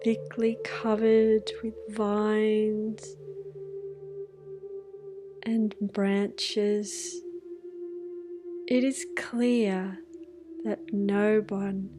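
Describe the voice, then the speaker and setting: A woman guiding a scripted meditation in a hushed, soothing manner, leaving long pauses between phrases. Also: medium pitch, little pitch movement. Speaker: meditation guide. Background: music with singing bowls.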